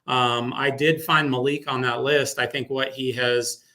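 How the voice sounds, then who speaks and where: Male sports announcer as interview guest, bright forward voice, casual, emphatic storyteller. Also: medium pitch, little pitch movement, unhurried.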